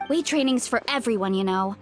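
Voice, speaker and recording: A woman's voice: a little high, brisk, natural voice. A woman voicing a cheerful, easygoing young fruit seller in an anime-style video game, friendly and earnest. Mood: friendly, encouraging. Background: game music.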